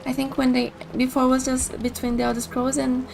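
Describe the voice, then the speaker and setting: Brazilian-accented woman, young, high voice, a bit of fry, rambling casual gameplay chat. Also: monotone, brisk, darkish tone, a little hiss or hum. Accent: Brazilian Portuguese. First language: Portuguese. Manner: chatty, rambling, thinks aloud. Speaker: streamer.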